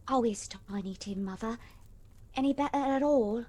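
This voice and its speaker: An actress playing an affectionate, earnest young daughter in a Victorian audio play. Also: female voice, a little high, normal speed, a little mellow, slight background noise, close to an everyday voice. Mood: cheerful, concerned. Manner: eager, earnest. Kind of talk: audio play acting, old radio drama style.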